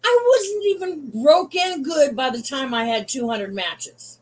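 Casting note: woman in her sixties, ex-wrestler guest, high voice, blunt, no-nonsense talk. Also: lively intonation, measured pace, darkish tone. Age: 60s. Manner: frank, blunt, teasing. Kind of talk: casual interview chat.

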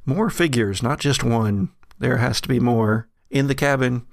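A man with a gravelly, creaky voice runs a tabletop horror game. He improvises the narration in a hesitant, conversational way, recorded dry with no room sound. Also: medium pitch, unhurried, dry recording. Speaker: game master.

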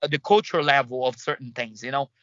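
A man from Brazil with a Brazilian Portuguese accent, a guest in an interview, talking in halting bursts with many restarts and fillers. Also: medium pitch, a bit bright, no echo, muffled recording. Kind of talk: conversational interview.

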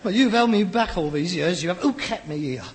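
A man's voice: sits high, lively intonation, a little fast, breathy edge. An actor playing a frustrated, pretentious rag-and-bone man of about forty with a working-class London accent, exasperated, grandiose and sarcastic in a sitcom. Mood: bitter, grandiose. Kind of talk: scripted sitcom acting. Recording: reverberant hall, old sitcom recording.

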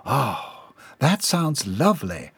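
Sugary, awkward mom voiced by a reader, lifted and slow. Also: mid-range voice, huge pitch swings, a bit bright, husky, dry recording, full character voice. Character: awkward, fussy visiting mother.

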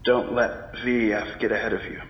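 An actor in a radio drama playing a stoic, dutiful dying army major who speaks weakly in halting, clipped phrases through an echoing filter. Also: male voice, mid-range voice, flat delivery, muffled recording. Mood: resigned, urgent.

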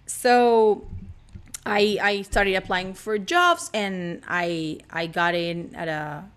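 A woman's voice: mid-range voice, takes it slowly, a bit bright, clear, resonant tone. A young woman from Argentina with an Argentine accent, chatting playfully as a podcast guest and laughing often. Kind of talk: casual chat.

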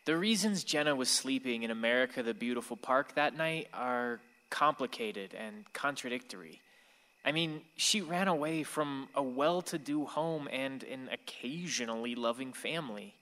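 A man's voice: mid-range voice, moderate pace, sharp tone, lightly acted. A man acting a wistful, bitter son of a grieving mother, telling his story earnestly in a radio drama. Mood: brooding. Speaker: actor in a radio play. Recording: long hall reverb.

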